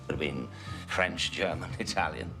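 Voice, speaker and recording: A man's voice: a bass voice, moderate pace. An actor playing a matey, probing male journalist in a British TV drama, fishing for information over drinks. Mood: genial. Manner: matey, probing, calls others boy. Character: chummy prying male Fleet Street journalist. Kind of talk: 1980s British TV drama acting. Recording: TV soundtrack with music underneath.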